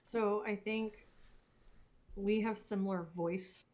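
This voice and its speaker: Slow-talking woman in casual chat. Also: medium pitch, steady tone, darkish tone.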